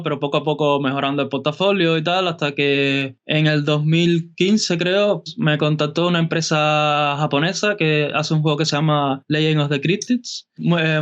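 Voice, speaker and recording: A man from Cuba with a Cuban Spanish accent, a guest illustrator answering interview questions in an unhurried way with fillers and restarts. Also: a little high, a bit bright, clear, resonant tone, highs cut off. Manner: unhurried, many fillers and restarts, light laughs.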